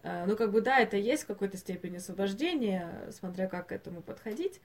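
A woman co-hosting a podcast in casual discussion, speaking in a thoughtful, halting way. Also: mid-range voice, expressive. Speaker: podcast co-host. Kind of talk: casual discussion of animated films.

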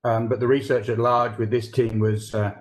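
A man on a podcast explaining a workplace research study, pausing often with fillers and restarts. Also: mid-range voice, flat delivery. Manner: hesitant, many fillers and restarts. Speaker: corporate real estate research lead.

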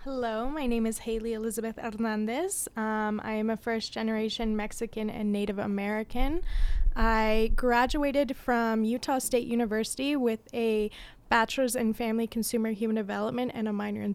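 A woman's voice: fairly high pitch, fairly even intonation, moderate pace, a little mellow. A woman in her twenties introducing herself in an interview, matter-of-fact as she lists her work. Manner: matter-of-fact, listing credentials. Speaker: guest.

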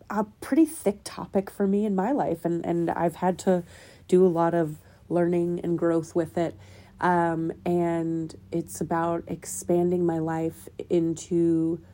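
A woman's voice: lowish voice, mellow. A woman hosting her own podcast, delivering an earnest spiritual self-help monologue full of probing questions, sounding a little nasal as she gets over a cold. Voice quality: slightly nasal. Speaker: podcast host.

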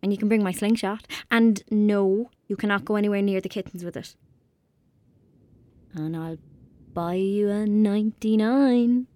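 A woman's voice: medium pitch, fairly even intonation, unhurried, mellow, no room sound, lightly acted. Woman playing a tender young rural Irish girl. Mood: bossy, persuasive. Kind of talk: audio drama acting, monologue and dialogue.

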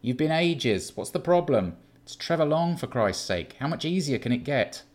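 رجل يؤدي صوت رجل متعجرف يتحدث بازدراء. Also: male voice, fairly high pitch, big pitch swings, moderate pace, pitched above the performer's own voice. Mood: irritated. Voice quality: dismissive, lecturing, irritated. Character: callous, condescending adult man, a dealer. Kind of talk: audiobook character voice.

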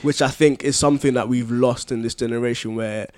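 A man's voice: medium pitch, steady tone, brisk, darkish tone, dry recording. A man co-hosting a podcast, chatting casually in an informal discussion. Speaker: podcast co-host. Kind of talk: casual chat.

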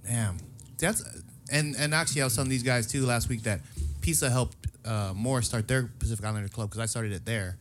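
A man's voice: medium pitch, brisk, soft background music. A young man hosting a casual podcast, laid-back, laughing often and swearing casually. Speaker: podcast host. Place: small studio bar set.

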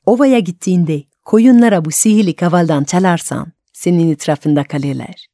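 A woman voicing a young folk tale princess, secretly helpful and caring, giving instructions gently. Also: lowish voice, normal speed, dry recording, close to an everyday voice. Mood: caring, conspiratorial.

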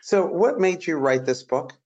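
A man's voice: a little high, a little slow, darkish tone, muffled recording. A man hosting a business interview show, enthusiastic and a bit hesitant, with fillers. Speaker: podcast host. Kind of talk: interview host chat.

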